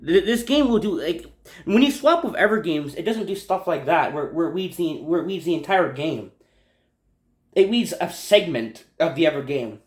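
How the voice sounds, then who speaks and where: A man's voice: a little high, expressive, moderate pace. A man giving casual, unscripted gaming commentary, rambling and repeating himself as he stumbles over his words. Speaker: video game YouTuber. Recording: echoey room.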